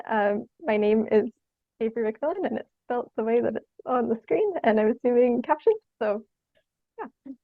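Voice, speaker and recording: A woman's voice: a little high, no echo, lo-fi sound. A young woman, answering interview questions casually in a hesitant, self-effacing way. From Ontario, Canada. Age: young adult. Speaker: interview guest, theatre artist.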